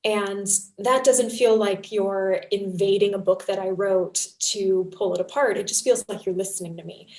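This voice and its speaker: Expressive woman in an echoey room. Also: medium pitch. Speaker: fiction podcast creator and panelist. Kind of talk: conversational panel discussion. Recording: roomy, echoey sound.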